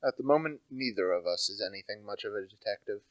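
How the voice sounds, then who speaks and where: Pemuda memerankan detektif cermat, suara sangat kering tanpa gema. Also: male voice, lowish voice, animated, fast, no room sound, highs cut off, natural voice. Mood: brooding. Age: young adult. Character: precise, brooding, intellectual gentleman detective. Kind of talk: radio play acting.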